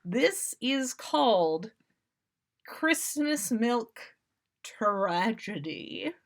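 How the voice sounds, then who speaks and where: A woman's voice: a little high, drawn-out words. A woman hosting a livestream, reading a story aloud in an amused, casual yet theatrical way. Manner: amused, expressive delivery. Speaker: podcast host.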